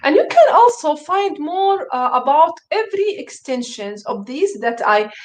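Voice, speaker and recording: A woman's voice: high-pitched, sing-song, measured pace, darkish tone. A woman whose first language is Arabic, presenting a screen-share tutorial to teachers, hesitant, with many fillers and restarts, and enthusiastic.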